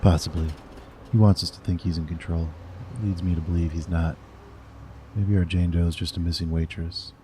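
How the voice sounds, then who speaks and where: An actor playing a blunt, profane lead detective giving orders in an audio drama. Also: male voice, deep voice, fairly even intonation, moderate pace, warm tone, a little hiss or hum, natural voice. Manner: blunt, swearing, commanding.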